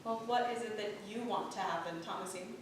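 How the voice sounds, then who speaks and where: A woman's voice: a little fast, close to an everyday voice. Woman acting an earnest, guarded wife, echoing stage. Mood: quiet, guarded. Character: weary, resolute, guarded wife keeping her husband's secrets. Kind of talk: staged play reading, period drama. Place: theatre stage. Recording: roomy echoing stage, distant mic, rumble.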